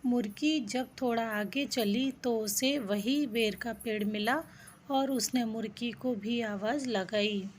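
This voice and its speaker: Slow, high-voiced woman storyteller. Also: slight background noise. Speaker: narrator. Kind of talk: scripted children's folk tale narration.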